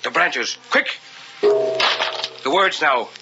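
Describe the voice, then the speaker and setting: An actor playing a solemn, intense leader of an occult ritual in an old radio horror drama, giving orders with authority. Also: male voice, fairly high pitch, animated, drawn-out words, exaggerated voice. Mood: commanding, intense. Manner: solemn, commanding. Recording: muffled old radio recording under loud music.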